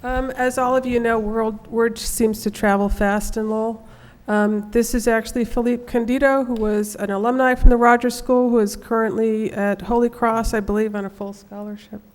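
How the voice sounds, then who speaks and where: A woman's voice: on the high side, steady tone, normal speed, darkish tone. A woman casually introducing the next speaker at a public meeting, with "um" fillers. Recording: long reverb in meeting hall.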